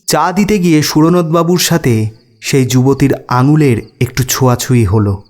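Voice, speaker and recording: A man's voice: mid-range voice, normal speed, a little mellow. A man narrating a scripted ghost story as a storyteller.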